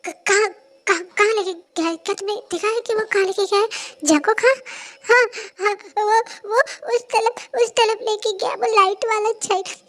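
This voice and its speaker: Young woman doing a tearful little boy, shrill, babyish, stammering, very high. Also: unhurried, creaky, heavily acted. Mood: panicked. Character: small upset boy, clingy and tearful.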